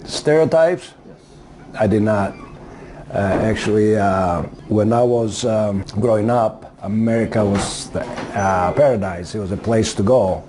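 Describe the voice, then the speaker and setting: A man in his sixties from Greece, answering interview questions in a casual, hesitant way with many pauses and fillers. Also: fairly low pitch, warm tone, slight background noise. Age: 60s.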